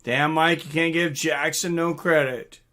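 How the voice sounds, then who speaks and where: A man's voice: on the high side, steady tone, a little slow, bright, forceful tone. A man hosting a live stream in a casual, rambling, mildly profane way, chatting and answering viewer comments.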